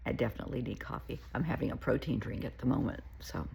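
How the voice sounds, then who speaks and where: A woman therapist giving casual, earnest advice to camera, her voice gravelly and shaky from an oncoming cold, often pleading and repeating herself. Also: a bass voice, fast, darkish tone, slight background noise. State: getting a cold.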